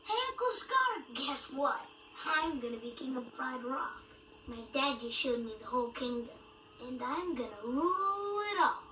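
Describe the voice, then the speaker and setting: A high, bright young lion cub bounces through his lines with cheeky excitement. The voice sounds crisp and a little distant, with room echo around it. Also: moderate pace, slight background noise. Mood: boastful. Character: eager boastful young male lion cub. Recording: roomy audio with music.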